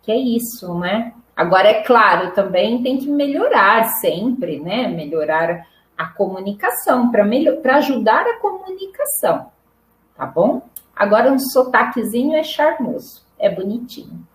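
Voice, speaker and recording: A woman's voice: a little high, lively intonation, a little slow, echoey room, rough recording. A middle-aged Brazilian woman teaching Portuguese in a live online lesson, patient and repetitive, often checking in with "né?" and "tá bom?". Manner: patient, repeats examples, frequent "né?" and "tá bom?".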